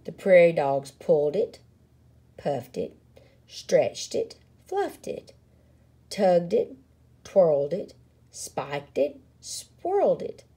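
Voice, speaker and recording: A woman reading a children's picture book aloud in a dramatic, expressive way, with deliberate and playful emphasis. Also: fairly low pitch, breathy edge. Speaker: story reader.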